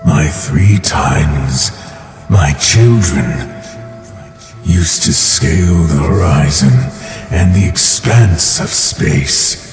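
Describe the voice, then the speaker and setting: In a cavernous reverb over music, an ancient, amused and menacing deity for a cartoon drama. The voice is low, grand and extremely slow, measuring out each phrase. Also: male voice, heavily acted. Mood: solemn, grand. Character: ancient, lordly male sky god, amused, menacing. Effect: long godlike reverb.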